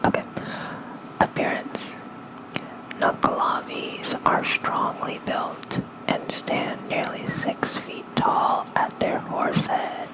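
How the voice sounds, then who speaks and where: An ASMR creator softly whispering a bedtime lore reading with casual asides, gentle and breathy, close on a fluffy-covered mic. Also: low-quality recording. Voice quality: soft breathy unvoiced whisper. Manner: slow, gentle, unhurried.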